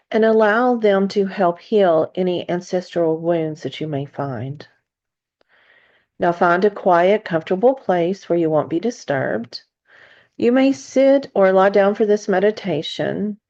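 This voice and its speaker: A woman leading a guided meditation in a soothing narration style, with gentle, deliberate phrasing and long pauses. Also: medium pitch, lo-fi sound.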